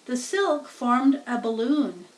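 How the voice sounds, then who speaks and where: Female children's book narrator, slow and deliberate, higher-pitched, slightly off the mic. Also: animated, recorded from a distance. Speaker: storybook reader.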